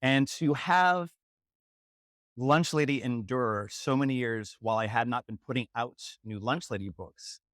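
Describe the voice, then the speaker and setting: A man, an author, giving an acceptance speech in measured phrases with emphatic pauses. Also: medium pitch, a bit bright, no room sound, clean studio sound.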